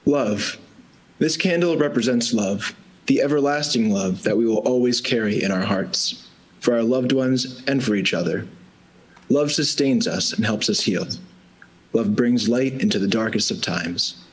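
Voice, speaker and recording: A man's voice: mid-range voice, a little slow, low-quality recording. A man reading a scripted commemorative passage in a formal ceremonial style. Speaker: ceremony reader.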